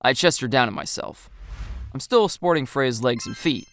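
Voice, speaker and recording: A woman's voice: very deep voice, lively intonation, normal speed, soft background music. A woman reading a scripted first-person story as its narrator.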